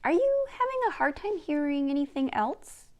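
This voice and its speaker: A woman's voice: high-pitched, very animated, normal speed, a little mellow, pitched above the performer's own voice. A woman voicing a curious eleven-year-old girl who keeps asking questions, in a children's book read-aloud. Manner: inquisitive.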